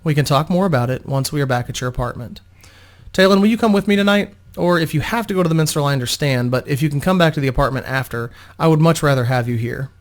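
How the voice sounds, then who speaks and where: A man's voice: a little high, fast, natural voice. A man voicing a composed, protective female adventurer in a fantasy story, reassuring and matter-of-fact. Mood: calm, planning. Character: composed, protective woman adventurer, soothing and decisive. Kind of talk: dramatic fantasy story reading.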